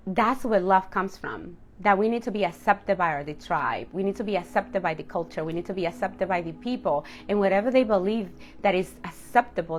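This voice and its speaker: A woman in her late thirties speaks straight to her viewers as a coach. Her voice sits at an ordinary middle pitch for a woman. She talks candidly and reflectively, leaning on key words for emphasis in a personal motivational style. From Dominican household. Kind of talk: personal motivational talk to camera.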